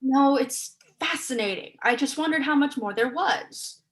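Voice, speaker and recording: A student actress playing a brisk, dry-witted, impatient female researcher in a staged play reading. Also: high voice, lively intonation. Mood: offhand. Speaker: student actor.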